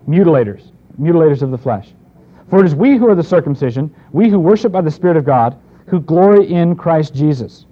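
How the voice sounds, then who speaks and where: A man teaching through a Bible passage in a conversational way, with restarts and asides. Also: on the high side. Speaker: preacher. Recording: dull lo-fi recording.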